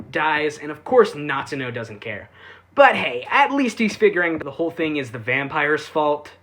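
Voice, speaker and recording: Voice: medium pitch, a bit bright, a little hiss or hum. A reviewer giving a casual, sarcastic recap, full of offhand asides and swearing. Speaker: anime reviewer.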